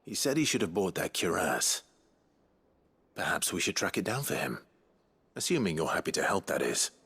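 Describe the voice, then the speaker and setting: An actor playing an earnest, considerate fantasy game hero, measured and polite with a raspy voice. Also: male voice, sing-song, fairly bright tone, exaggerated voice. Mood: concerned, thoughtful. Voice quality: low, husky, raspy. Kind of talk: video game voice acting.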